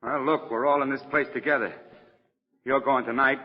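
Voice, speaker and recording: Husky, anxious man playing a condemned prisoner. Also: medium pitch, fairly even intonation, normal speed, lightly acted. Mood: dejected, weary. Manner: pleading, on edge. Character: anxious, dejected male death-row inmate. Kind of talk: 1940s radio drama acting. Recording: muffled old radio, long reverb.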